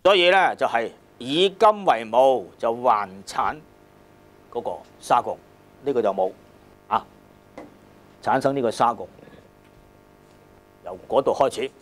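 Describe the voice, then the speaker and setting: A man's voice: mid-range voice, lively intonation, measured pace, long pauses, a bit bright. A man with a Hong Kong Cantonese accent lecturing on a classical text in a colloquial, emphatic way, repeating phrases and checking with rhetorical questions.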